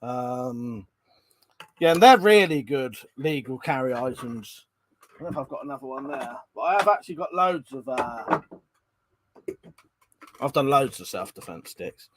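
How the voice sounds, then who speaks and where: A man's voice: medium pitch, expressive, a little slow, pauses often, a little hiss or hum, rough recording. A man hosting a live stream, reading out viewer comments in a rambling, chatty way, congested from a cold and coughing now and then. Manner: chatty, rambling, frequent ums and restarts.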